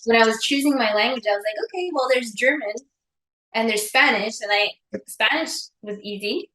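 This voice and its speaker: A woman's voice: a little high, animated, normal speed, pushed and bright, roomy sound, poor audio. A woman co-hosting a podcast, chatting casually and laughing often. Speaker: podcast co-host. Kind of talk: casual chat over wine.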